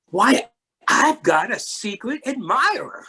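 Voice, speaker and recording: An amateur reader playing a lonely, polite man who speaks formally and deliberately in a readers theatre story. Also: medium pitch, very animated, pushed and bright, slightly rough, full character voice. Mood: wondering. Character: Mister Hatch, lonely polite man opening up in wonder. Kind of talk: readers theatre character reading.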